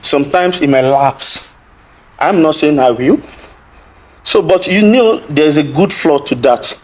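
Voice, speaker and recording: Insistent man arguing his point, higher voice. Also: darkish tone. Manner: insistent, interrupts to hold the floor. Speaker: podcast guest. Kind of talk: heated casual debate.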